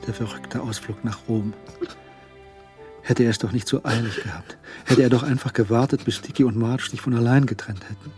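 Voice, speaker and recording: A man narrating a crime radio drama in a sober, literary manner with measured, clipped phrasing. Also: medium pitch, steady tone, darkish tone, soft background music, lightly acted. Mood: reflective.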